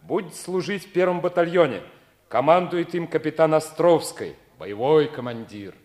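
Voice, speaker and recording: A man's voice: a little high, lively intonation, moderate pace, a little mellow, lightly acted. Actor as fatherly Soviet army commander. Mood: commanding, fatherly. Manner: hearty, authoritative, avuncular. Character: fatherly commanding lieutenant colonel, jovial Soviet regiment commander. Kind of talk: 1950s Soviet stage radio drama acting.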